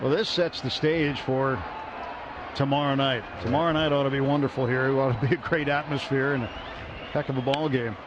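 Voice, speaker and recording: A man calling a baseball game as the radio play-by-play announcer from a ballpark booth, with brisk, measured play calling. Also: mid-range voice, steady tone. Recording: muffled radio broadcast with crowd noise.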